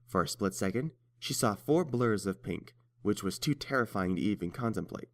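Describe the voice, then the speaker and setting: Low-voiced male story narrator. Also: expressive, moderate pace, no room sound, studio quality.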